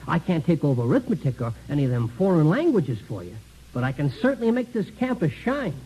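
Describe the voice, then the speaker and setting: A man's voice: a little high, sing-song, fast, dark tone, a little hiss or hum, low-quality recording. An actor playing a talkative, down-on-his-luck American janitor and father in early 1950s radio comedy-drama, rambling, stammering and self-deprecating. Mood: earnest, hopeful.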